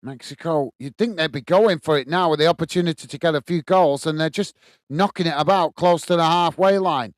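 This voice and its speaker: A man's voice: a little high, brisk, no echo, studio quality. An English man hosting a live football watchalong, calling the play steadily and reading out chat comments. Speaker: watchalong host.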